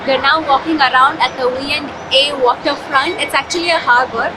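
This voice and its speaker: A woman's voice: high-pitched, fairly even intonation, fairly quick, a crowd in the background, background noise. A woman chatting casually as a travel vlogger while out walking outdoors. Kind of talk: casual chat and travel commentary. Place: outdoors on city streets and waterfront.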